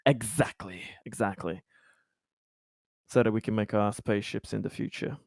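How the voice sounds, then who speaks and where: A man with a fairly low voice, captured close and dry. He talks casually through options as a player at a tabletop game. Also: moderate pace, gravelly, a little mellow, no echo.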